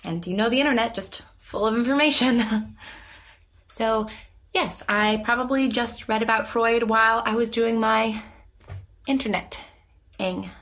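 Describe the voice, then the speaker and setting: A woman's voice: on the high side, measured pace, fairly bright tone. A woman playing a nervous young therapy patient in an audio drama, rambling and hesitant in a naturalistic style. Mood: flustered. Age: young adult. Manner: hesitant, stammering, self-conscious rambling.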